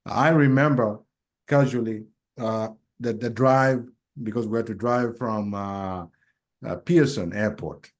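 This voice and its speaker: A man with a somewhat low voice co-hosts a relaxed podcast conversation. He grew up in Kenya. He speaks thoughtfully and haltingly, often pausing with fillers as he reflects. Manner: hesitant, reflective, many pauses and fillers. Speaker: podcast co-host.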